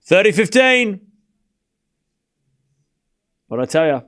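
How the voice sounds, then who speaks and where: A man's voice: sits high, big pitch swings, takes it slowly, a bit bright. A man with an Australian accent, giving casual live tennis commentary and teasing his chat. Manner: teasing, boastful, talks straight to chat.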